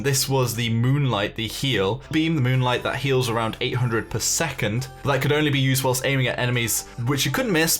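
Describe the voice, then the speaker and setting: A man hosts a gaming news video in a casual, chatty commentary style. His voice sits at an everyday middle pitch, with music playing behind him. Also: fairly bright tone.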